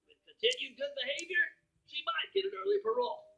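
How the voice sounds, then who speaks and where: A man's voice: very high voice, fast, gravelly, bright, forceful tone, highs cut off, full character voice. A man voicing a nerdy, brainy turtle sidekick who narrates a cartoon video game in a precise, wry storytelling manner. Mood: wry, upbeat storytelling.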